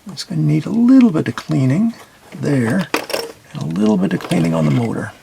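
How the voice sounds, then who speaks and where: Male repair host, gravelly low-key delivery, noisy bench recording. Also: fairly quick. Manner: calm, step-by-step instructive. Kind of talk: narrated repair tutorial.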